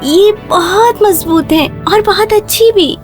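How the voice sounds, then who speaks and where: A woman's voice: very high voice, faint music behind. A woman voicing a poor, polite eight-year-old orphan girl, pleading earnestly in a children's cartoon story. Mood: polite, persuading.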